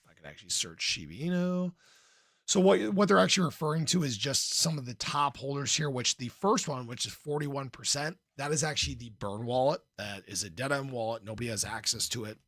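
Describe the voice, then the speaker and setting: A man with a gravelly, slightly hoarse voice at a middling pitch talks casually as a livestream host. He is informal and playful, often clearing his throat. The sound is dry and close, with no room to it. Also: expressive, a little fast, fairly bright tone, no room sound. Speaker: livestream host, crypto commentator. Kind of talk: casual livestream chat, reading and answering viewer comments.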